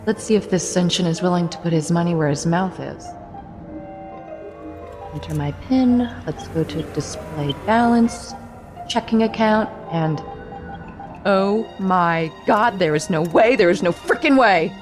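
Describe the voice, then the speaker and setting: A woman's voice: on the low side, unhurried, over music, lightly acted. A woman acting a skeptical, plain-spoken young heroine who is wary and bewildered, in an audio drama. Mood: skeptical, amazed.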